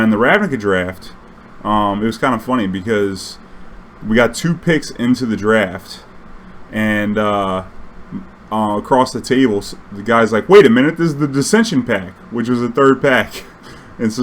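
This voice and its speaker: A man casually telling an anecdote in a slangy, swearing, animated way, acting out bits of dialogue. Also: fairly low pitch, a little hiss or hum. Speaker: storyteller recounting his own tournament games.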